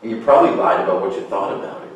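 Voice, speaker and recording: A man's voice: deep voice, rapid-fire, natural voice. A man playing a wounded, defiant writer who accuses his friend, in a table read of a stage play. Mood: accusing. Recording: echoey room, distant mic, faint noise.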